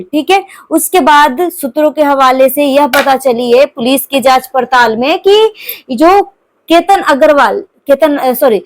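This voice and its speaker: A woman commentator talking through a crime news case informally, explaining it to viewers with repeated verbal tags. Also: high-pitched.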